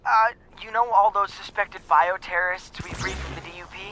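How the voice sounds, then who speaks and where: An actor playing an awkward, eager young sidekick in a game, speaking hesitantly and earnestly. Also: male voice, high voice, fairly even intonation, brisk, bright, forceful tone, a little hiss or hum, low-quality recording. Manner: hesitant, earnest, slightly sheepish. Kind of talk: game cutscene dialogue.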